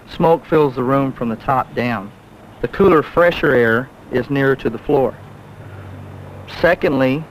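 A middle-aged man with a medium-pitched voice delivers a prepared safety briefing. He is deliberate and instructive, like a firefighter teaching the public, on a slightly noisy old film soundtrack.

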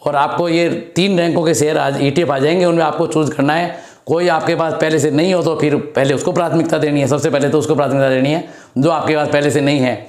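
A middle-aged man, slightly hoarse from a throat infection, teaching a finance lesson at a blackboard and repeating the key numbers step by step. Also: on the high side, steady tone, fast, echoey room. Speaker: stock market educator. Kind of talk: blackboard lesson and screen walkthrough. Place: indoor room with a blackboard.

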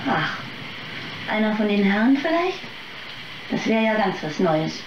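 A woman's voice: medium pitch, sing-song, unhurried, highs cut off, noisy background. An actress playing a bitter, exhausted mother and tavern waitress in a radio play, weary and sarcastic. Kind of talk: radio play acting, dramatic monologue.

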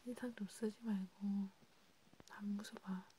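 A woman whispers softly in an intimate, chatty ASMR role play. Her voice is very mellow and close to the mic. Clear background noise and small clicks sit under the words. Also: mid-range voice, fairly even intonation, unhurried. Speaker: ASMR creator.

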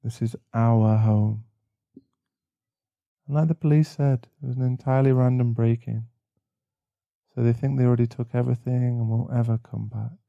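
A man playing a caring, protective boyfriend in an intimate ASMR roleplay, speaking softly and soothingly with halting pauses. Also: medium pitch, little pitch movement, creaky, no echo, highs cut off, lightly acted. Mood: reassuring, loving. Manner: soft, soothing, halting, sighing. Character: caring, protective, shaken boyfriend comforting a partner. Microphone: close mic.

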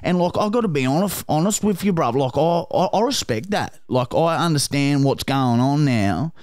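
A man's voice: fairly high pitch, lively intonation, moderate pace, darkish tone, dry recording, clean studio sound, natural voice. A man with an Australian accent playing a crude, pushy bloke on a prank call, swearing constantly and calling everyone mate and brother. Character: crude pushy bogan bloke, loud and pleading. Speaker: prank caller.

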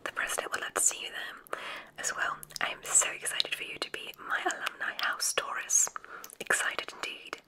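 A woman playing a gracious, whimsical witch who runs a magic school, in a genteel, breathy ASMR whisper. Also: close to an everyday voice, low-quality recording. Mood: warm, cordial. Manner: genteel, unhurried, welcoming. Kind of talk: ASMR medical roleplay.

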